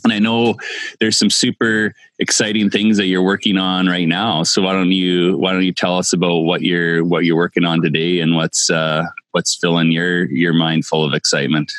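A middle-aged man hosting a podcast in casual, halting speech that often repeats words. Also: low voice, flat delivery, a bit bright, no echo. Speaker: podcast host, farmer.

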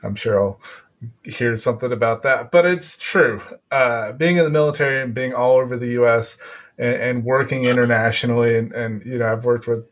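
Guy, guest; mid-pitched, chatty, keeps restarting himself. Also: normal speed. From United States. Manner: rambling, self-interrupting storyteller with repeated restarts. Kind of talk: casual chat.

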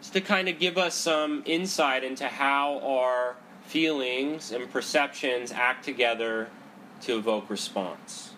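Loud-voiced man teaching with a head cold. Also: mid-range voice, measured pace, a little hiss or hum, low-quality recording. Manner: loud talker; conversational, frequent "right?" and "you know". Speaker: meditation teacher. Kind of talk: Buddhist psychology talk to a group. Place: indoor room with a group, seated cross-legged.

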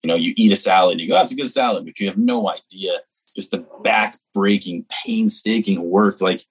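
A deep, husky male voice from New Hampshire, talking with earnest enthusiasm as an interview guest. He runs on in long, eager stretches of casual conversation. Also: a little fast. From New Hampshire, US East Coast. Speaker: executive chef, guest.

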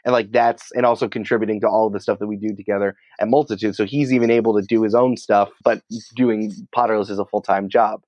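A man's voice: on the low side, steady tone, fast talker, hardly any pauses, a little mellow, no echo. A man speaking as a guest in a casual interview, explaining things in an informal, colloquial way. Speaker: podcast company creative head, interview guest.

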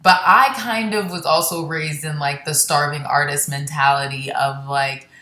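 Low-voiced chatty woman comedian, echoey room. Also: fairly even intonation, bright, forceful tone. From Florida, born in Baltimore. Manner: rambling, self-deprecating, quick asides. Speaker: stand-up comedian, guest. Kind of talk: casual interview chat.

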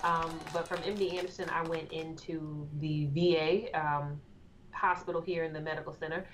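A woman's voice: deep voice, fairly even intonation, moderate pace. A woman from Austin, Texas, a therapist, explaining her work in a composed, articulate way. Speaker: therapist and guest. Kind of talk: interview answers. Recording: dull lo-fi sound with faint noise.

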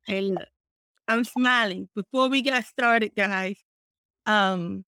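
A middle-aged woman co-hosting a casual podcast, expressive and animated, speaking warmly about faith and community. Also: mid-range voice, takes it slowly, clean tone, dry recording, clean studio sound. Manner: warm, expressive, talks about faith and blessings; frequent fillers and restarts, animated storytelling. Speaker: podcast co-host.

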